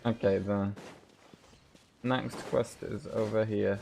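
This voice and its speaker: A man's voice: lowish voice, steady tone, slow, creaky, warm tone, a little hiss or hum. A man giving casual, sweary gameplay commentary as a streamer. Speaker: game streamer.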